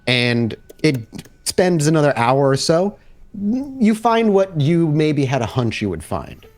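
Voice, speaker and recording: A man working as game master, improvising narration casually and haltingly as he thinks aloud. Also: fairly high pitch, very animated, darkish tone.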